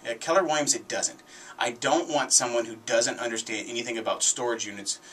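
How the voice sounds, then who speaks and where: A man's voice: medium pitch, brisk, a bit bright. An American man hosting a radio show, talking off the cuff in a plain-spoken, opinionated, folksy way about his trade like a sales agent. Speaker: radio show host, real estate agent. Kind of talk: unscripted radio talk, anecdotes and sales pitch. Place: indoors, radio show setting. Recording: noisy, distant-sounding radio show capture.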